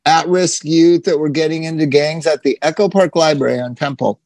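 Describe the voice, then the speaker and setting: A man's voice: fairly high pitch, fairly even intonation, fairly quick, darkish tone, no echo. A man in his sixties, a podcast host telling rambling, profane stories in casual chat. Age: 60s.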